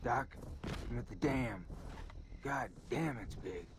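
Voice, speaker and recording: A man playing a gruff, deadpan cyborg army sergeant in an action video game. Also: medium pitch, slow pace, background noise. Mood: gruff, resolute. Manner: terse, deadpan, tough-guy. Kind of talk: 1980s action-hero game acting. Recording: loud music behind.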